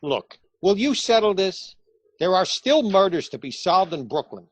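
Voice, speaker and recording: An actor playing a brusque, impatient police lieutenant, gruff and incredulous, in a radio theatre comedy. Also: male voice, high voice, sing-song, normal speed, dry recording.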